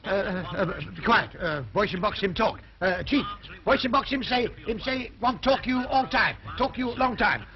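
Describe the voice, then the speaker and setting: A bustling ship's captain speaks in a very high, lively voice that halts over fillers. The sound is dull and dated, as on an old film soundtrack, with a crowd murmuring behind him. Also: male voice, soft background music. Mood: explaining. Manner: hesitant, halting, with many fillers.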